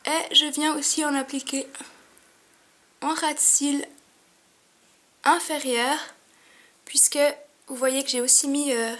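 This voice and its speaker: Young woman, high-pitched, slightly stuffy with a cold, chatty makeup tutorial, at home by a mirror. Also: flat delivery, slow pace, pauses often. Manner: chatty, many fillers, soft asides. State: has a cold, sniffling. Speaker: beauty vlogger.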